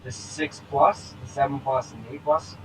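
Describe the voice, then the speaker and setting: An easygoing young man in his twenties with a mid-pitched voice, tossing in dry jokes between plain chat. Faint noise hums in the background. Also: moderate pace. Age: 20s. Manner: easygoing, joking asides. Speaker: podcast co-host. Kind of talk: casual chat.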